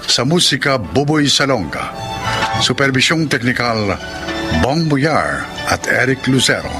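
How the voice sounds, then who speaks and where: A male radio drama announcer with a solemn, formal delivery. He takes his time and swings his pitch expressively, while loud music plays behind him. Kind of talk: scripted radio drama narration.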